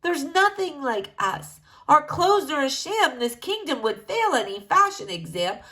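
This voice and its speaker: A woman's voice: high-pitched, very animated, measured pace, pushed and bright, pitched above the performer's own voice, exaggerated voice. A woman voicing an unhappy, fussy king who complains poutily in a children's storybook. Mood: pouting, griping. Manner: complaining, pouty. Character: unhappy, fussy young king who feels he doesn't belong.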